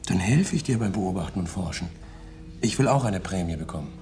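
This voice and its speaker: A man's voice: deep voice, animated, brisk, creaky, background noise. An actor playing a smug young male slave in a science fiction radio play, speaking in an emphatic, self-important way. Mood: smug, boastful. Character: boastful young male slave, smug about his superior generation.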